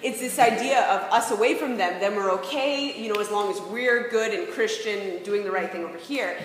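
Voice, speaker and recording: A woman's voice: mid-range voice, moderate pace. A woman giving an informal, reflective talk, often posing rhetorical questions. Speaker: speaker presenting ideas on faith and peacemaking. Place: large reverberant room. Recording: very echoey hall, faint background noise.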